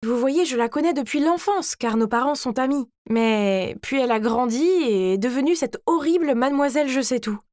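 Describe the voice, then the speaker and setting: A woman voicing a vain, scheming teenage girl who tells her own animated story in a smug, theatrical way. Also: high voice, moderate pace, hardly any pauses, no echo, clean studio sound. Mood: scheming, indignant. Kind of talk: dramatized first-person story narration.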